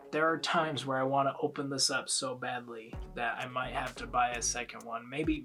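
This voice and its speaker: Congested young man chatting, bright voice. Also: mid-range voice. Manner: rambling, chatty. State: congested from a head cold. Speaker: reaction video host. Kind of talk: casual chat to camera.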